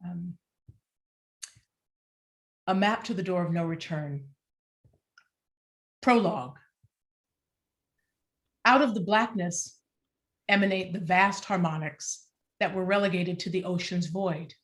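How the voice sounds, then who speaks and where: A woman giving a deliberate, expressive scripted narration as she reads out stage directions for a play. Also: on the low side. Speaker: playwright reading stage directions.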